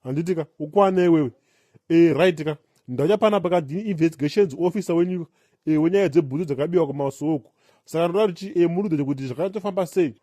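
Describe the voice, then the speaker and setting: A man acts out a self-important Zimbabwean police investigator in a comedy cartoon. He talks extremely fast in a mellow, close and dry voice, bossing people about and tacking tags onto his sentences. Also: a little high, no echo, lightly acted. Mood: bossy. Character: pompous male police investigator boasting about bomb disposal.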